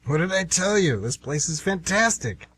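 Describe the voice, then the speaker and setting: A man's voice: sits high, very animated, moderate pace, fairly bright tone, a little hiss or hum. A man playing a polite, understated hitman who poses as a friendly tourist in an amateur radio play. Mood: casual, agreeable. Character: smooth, rueful male hitman posing as friendly tourist.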